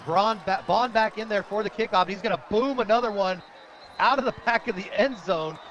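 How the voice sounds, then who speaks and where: An American man doing live radio play-by-play of a high school football game, in clipped, energetic present-tense calls. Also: a little fast, muffled recording, a little hiss or hum. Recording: loud crowd behind outdoor broadcast. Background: stadium crowd.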